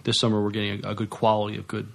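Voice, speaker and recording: A man co-hosting a podcast in casual news chat, with a halting, hesitant delivery. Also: on the low side, monotone, gravelly. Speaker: podcast co-host.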